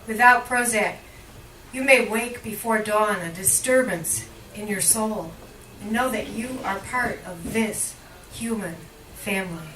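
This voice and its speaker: A woman giving a live poetry reading in measured, deliberate phrases with emphatic line breaks, recorded indoors at a cafe. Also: medium pitch, bright, forceful tone. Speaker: poet, featured reader at a poetry reading. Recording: roomy, distant live recording with faint noise.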